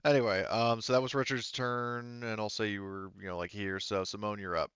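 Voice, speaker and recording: A man's voice: fairly low pitch, little pitch movement, fairly quick, fairly bright tone, smooth, no room sound, highs cut off. A man acting as game master in a tabletop roleplaying game, talking through rulings casually.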